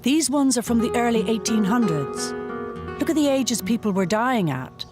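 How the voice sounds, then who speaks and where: Lively high-voiced woman narrator. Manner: clear, measured, guiding. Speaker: documentary presenter. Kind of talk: scripted documentary narration. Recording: music bed under narration.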